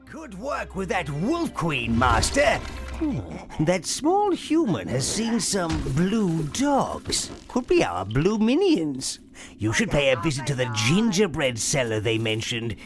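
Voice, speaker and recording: Wildly swooping old goblin advisor. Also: male voice, a little high, huge pitch swings, takes it slowly, music in the background, full character voice. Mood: slyly approving. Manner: theatrical, servile, musing. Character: fawning old goblin minion advisor to dark master. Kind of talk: fantasy video game voice acting.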